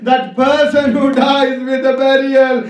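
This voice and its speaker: A man preaching a mournful, impassioned Shia lament sermon in a declamatory way, with drawn-out phrases and rhetorical questions. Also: extremely high pitch, level tone. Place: large indoor congregation hall. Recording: echoey hall, distant microphone.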